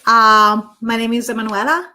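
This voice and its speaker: A woman's voice: on the high side, bright, forceful tone. A woman from Italy, introducing herself playfully from her studio room, correcting herself now and then. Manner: playful, unhurried, with self-corrections. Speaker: panelist, UX designer. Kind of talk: casual self-introduction. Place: studio room on top floor.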